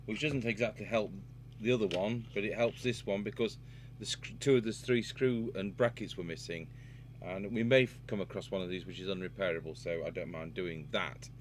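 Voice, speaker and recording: A man's voice: on the low side, fairly quick. A man gives an unscripted hands-on repair demonstration, matter-of-fact and thinking aloud as he works. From United Kingdom. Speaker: radio repair technician and presenter. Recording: noisy workshop recording with electrical hum.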